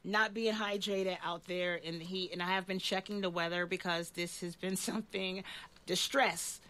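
A woman's voice: on the low side, steady tone, normal speed, sharp tone. A woman of about fifty hosting a casual community talk, often murmuring agreement as she listens. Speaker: talk show host.

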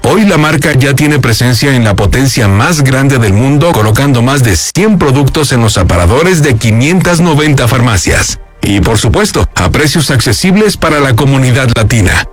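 A man's voice: medium pitch, lively intonation, normal speed, no room sound. A man with a Mexican accent reading scripted narration for a promotional video. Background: background music.

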